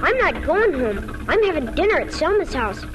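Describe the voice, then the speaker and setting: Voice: high-pitched, big pitch swings, moderate pace, poor audio. A performer playing a blunt, eager six-year-old farm boy in a 1940s radio drama. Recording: old radio recording with music behind.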